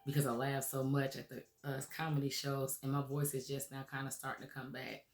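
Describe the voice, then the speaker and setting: A woman host gives an earnest, encouraging monologue, her voice raspy as it comes back from laryngitis. She speaks at an even, level pitch, checking in with listeners now and then. The sound is roomy, with a noticeable echo. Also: fairly quick. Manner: earnest, encouraging, frequent "okay?" and "um". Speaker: radio show host. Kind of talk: motivational talk show monologue.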